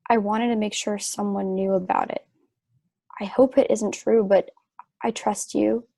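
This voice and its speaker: A woman playing a sick, trusting wife in a stage play reading, wistful and gently earnest. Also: mid-range voice, fairly even intonation, unhurried, gravelly, no echo, clean studio sound. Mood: weak, worried.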